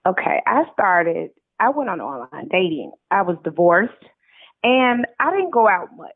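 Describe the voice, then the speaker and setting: A woman's voice: on the low side, sing-song, measured pace, no echo. American woman on a tinny phone line. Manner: candid, emphatic, colloquial. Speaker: interview guest, author. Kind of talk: casual conversational storytelling. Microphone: phone call.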